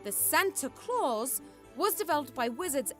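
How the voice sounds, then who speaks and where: A woman playing a brisk, bossy young witch in a radio drama, explaining things emphatically. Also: sits high, very animated, faint music behind. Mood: explanatory.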